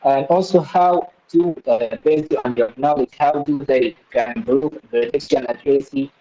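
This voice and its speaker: A man taking part in a webinar, politely and haltingly putting a question to a presenter. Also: medium pitch, mellow. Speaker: webinar participant asking a question. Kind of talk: hesitant spoken question. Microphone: webinar call. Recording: dull, muffled online call.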